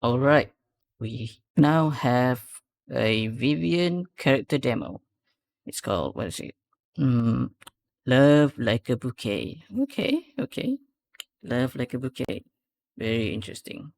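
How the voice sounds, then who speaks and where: A man's voice: mid-range voice, very animated, slow pace, gravelly, darkish tone. A man giving casual reaction commentary on game trailers, rambling and repeating words for emphasis. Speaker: reaction video host. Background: music from the trailer.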